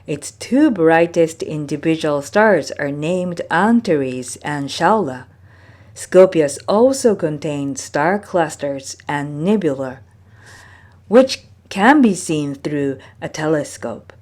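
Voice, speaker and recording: A woman reading from a folktale book as a storyteller, with measured, deliberate phrasing. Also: deep voice, expressive, darkish tone. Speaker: storyteller, reader. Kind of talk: scripted narration of a folktale book.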